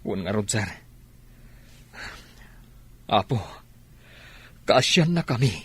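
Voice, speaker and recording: Weary footsoldier, male, acted: husky mid-range voice, dry studio sound, obedient radio-drama delivery. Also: fairly low pitch, expressive, slow, fairly bright tone, dry recording, full character voice. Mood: worried, strained. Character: weary male soldier obeying his commander. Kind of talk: Ilocano radio drama acting.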